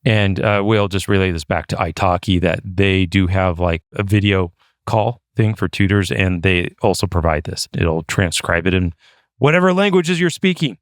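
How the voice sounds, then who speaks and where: A chatty man with a low voice co-hosts a podcast, talking loosely and dropping in fillers as he goes. His voice sounds close and dry, with no room echo. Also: normal speed. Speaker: podcast co-host. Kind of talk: casual podcast chat.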